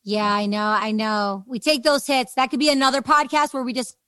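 A woman's voice: a little high, a little fast, fairly bright tone, slight background noise. A woman hosting a casual conversational podcast interview, speaking in an effusive, encouraging way. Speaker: podcast host.

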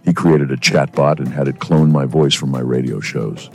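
Stuffy radio guy with a cold, super deep voice, wry scripted segment. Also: moderate pace, gravelly, a little mellow, soft background music. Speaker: co-host.

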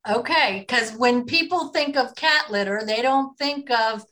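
A woman's voice: fairly high pitch, measured pace, bright, forceful tone. A woman hosting an interview podcast, reading a sponsor intro and then chatting with her guest with some fillers. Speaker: host.

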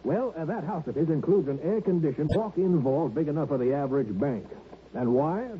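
A man plays a folksy, chatty real estate man in a 1960s radio play. His fairly high voice rambles and hesitates with emphatic turns, through a thin, phone-like old recording with some hiss. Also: expressive, brisk, natural voice. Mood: chatty, rambling. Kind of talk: 1960s radio drama acting.

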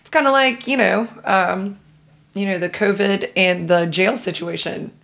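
Female co-host, average pitch, casual and colloquial, reactive conversational delivery. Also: measured pace, gravelly, a bit bright. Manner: colloquial, interjecting, paraphrases with imagined quotes. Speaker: podcast co-host reacting to the story. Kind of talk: casual chat.

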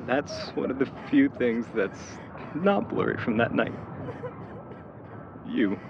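A man acting in an audio drama as a lovestruck young man, awkwardly tender and teasing, with a halting, hesitant way of talking. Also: mid-range voice, very animated, creaky, lo-fi sound, background noise, exaggerated voice. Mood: wistful reminiscing.

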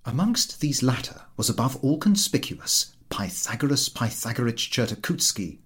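A man reading a literary story aloud as an audiobook narrator, with an expressive storytelling delivery. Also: medium pitch, breathy edge. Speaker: audiobook reader.

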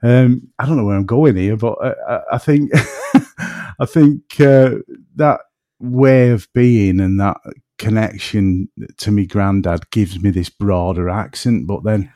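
A man with a northern English accent, from between Manchester and Liverpool, speaking in a lowish voice. He rambles in a relaxed, self-deprecating way and drifts off topic as a podcast guest. The sound is close and dry, with no room echo. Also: takes it slowly. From North West England. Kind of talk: casual chat.

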